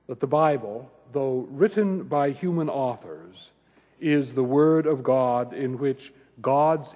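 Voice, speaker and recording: A man giving a scripted, formal introduction to a lecture, with a measured delivery and dry humour. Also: mid-range voice, mellow, husky. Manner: measured, formal, dryly humorous. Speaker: host introducing a guest lecturer. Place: large lecture hall at a university. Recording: echoey hall, slightly muffled voice.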